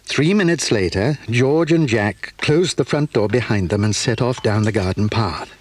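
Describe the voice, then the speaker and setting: A man narrating a children's radio play with expressive, measured delivery. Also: medium pitch, a little hiss or hum. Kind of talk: scripted storybook narration.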